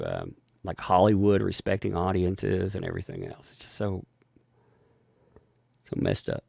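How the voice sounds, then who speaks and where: Man, gravelly low voice, dry close mic, rambling live-stream host. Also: a little mellow, no room sound. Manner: rambling, restarts sentences, chuckles often. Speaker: live-stream host reading viewer donations. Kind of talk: casual live-stream chat.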